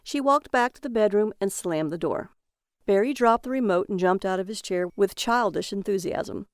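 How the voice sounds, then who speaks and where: A woman reading a dark comic short story in an even, measured way. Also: medium pitch, no room sound, clean studio sound. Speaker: story reader. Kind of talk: scripted narration of a dark comic tale.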